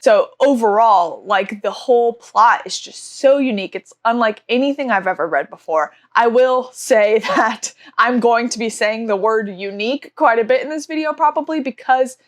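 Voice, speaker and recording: A woman's voice: a little high, moderate pace, few pauses. A young woman reviewing books in a casual vlog, stuffy from allergies, gushing with enthusiasm and often saying "like". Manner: gushing, enthusiastic, frequent "like" fillers. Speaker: book vlogger.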